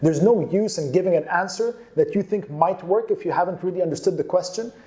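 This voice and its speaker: A man's voice: high voice, brisk, dark tone. A man presenting career advice in an emphatic, instructive manner, stressing and repeating key points. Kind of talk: presenting instructional tips to camera.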